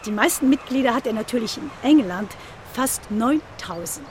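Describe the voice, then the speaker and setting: A woman acting as a chatty female tour guide in a radio play, speaking in a high voice. She talks casually and conversationally, as if showing visitors around, over faint ambient noise. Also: animated, moderate pace, natural voice. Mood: chatty, anecdotal. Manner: conversational, informal, anecdotal.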